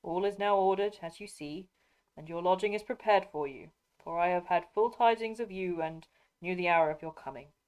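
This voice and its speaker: A woman's voice: fairly low pitch, moderate pace, a little mellow, clear, resonant tone, close to an everyday voice, poor audio. A woman reading aloud, voicing a young noblewoman's sorrowful, restrained lines. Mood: subdued.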